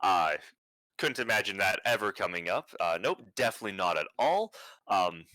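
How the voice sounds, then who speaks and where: A young man presenting at an online conference, talking in a flat, near-monotone way with many fillers and restarts. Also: low voice, normal speed, no echo.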